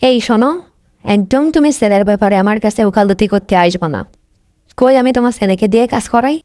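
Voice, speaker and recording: A woman's voice: mid-range voice, moderate pace, mellow, dry recording, studio quality, lightly acted. A woman voicing a mean, sarcastic, scolding village stepmother in a fairy-tale cartoon. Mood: scornful.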